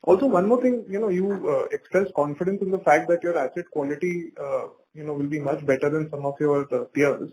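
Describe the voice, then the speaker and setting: A man's voice: on the high side, a little fast, few pauses, darkish tone. A man asking questions as an analyst on an earnings conference call, over a noisy line.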